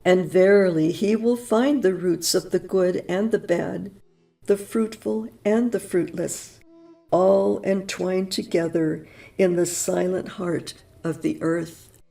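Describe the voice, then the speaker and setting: A woman reading prose poetry aloud in a slow, solemn, reverent manner, with measured phrasing and long pauses. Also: steady tone. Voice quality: gravelly, low and mellow. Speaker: audiobook reader. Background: soft music.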